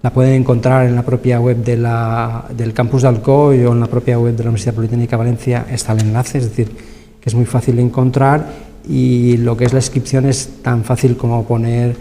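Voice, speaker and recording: Man, Spain accent, wordy explainer in interview, even intonation, very mellow tone, reverberant room. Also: medium pitch, brisk. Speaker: university event coordinator, interviewee. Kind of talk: conversational interview answers. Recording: reverberant hall, clear background noise.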